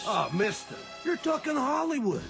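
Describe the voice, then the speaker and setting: An actor playing a wisecracking, skeptical gangster in a radio play, with a creaky voice. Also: male voice, extremely high pitch, very animated, moderate pace, loud music behind the voice, full character voice. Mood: mocking.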